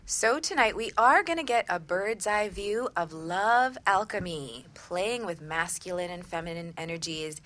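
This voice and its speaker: Casting: woman, middle-aged, lowish bright voice, enthusiastic relationship talk show presenter, half scripted, half chatty. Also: lively intonation, a little slow, few pauses. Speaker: radio talk show co-host and relationship coach.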